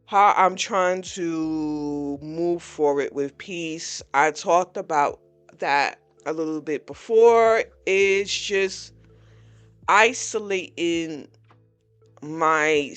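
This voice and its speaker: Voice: mid-range voice, a bit bright, smooth. A podcast host in their early forties giving a candid, blunt personal reflection, talking openly about frustration. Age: 40s.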